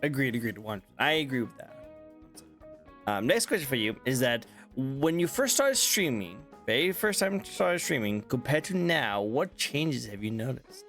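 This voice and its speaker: A man hosting a casual interview who asks questions and chimes in with quick, encouraging agreement. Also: medium pitch, expressive, a bit bright, faint music behind. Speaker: interview host.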